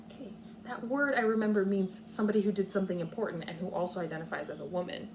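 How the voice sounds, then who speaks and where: A woman's voice: medium pitch, steady tone, fast talker, noisy background. A woman speaking as a kindergarten teacher, explaining and thinking aloud step by step in a read-aloud lesson for young children.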